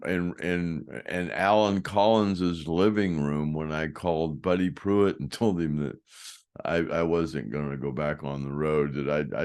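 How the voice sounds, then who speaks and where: An older man with a deep voice telling rambling stories in a casual chat, often trailing off and restarting. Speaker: host, former band roadie.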